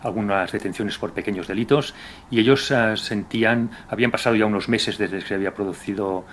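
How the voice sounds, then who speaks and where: A middle-aged police commissioner, a man, recalling a case in an interview in a hesitant, measured way. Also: fairly low pitch, fairly bright tone, slight background noise.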